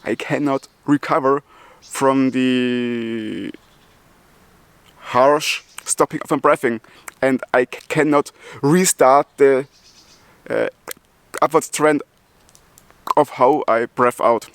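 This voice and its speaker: Slow-talking German man who stutters. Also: mid-range voice, no room sound. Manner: stutters with repeated sounds and restarts; chuckles between phrases. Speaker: YouTuber. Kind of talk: casual vlog talk.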